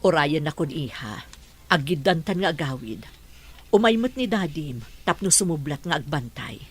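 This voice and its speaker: A woman's voice: sits low, animated, moderate pace, breathy edge. A woman with a Filipino accent playing a caring middle-aged madam and mother in an audio drama, soothing and coaxing. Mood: motherly. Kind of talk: Ilocano audio drama acting.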